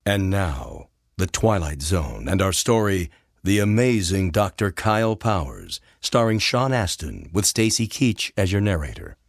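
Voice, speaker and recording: A man's voice: deep voice, very animated, unhurried, creaky, no echo, studio quality. A man announcing a radio drama in a scripted, formal introduction.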